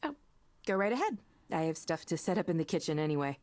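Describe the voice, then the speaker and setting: An actress playing an earnest, friendly young coworker in a visual novel game, talking in a casual, emphatic, encouraging way. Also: female voice, low-pitched, very animated, quick pace, darkish tone. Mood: easygoing. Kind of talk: video game voice acting. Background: faint music.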